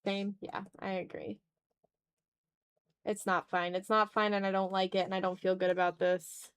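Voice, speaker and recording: Woman streamer doing art, mid pitch voice, casual rambly thinking out loud, kinda self-deprecating. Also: little pitch movement, normal speed, clean tone. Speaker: art livestream host. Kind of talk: casual chat while drawing on a livestream.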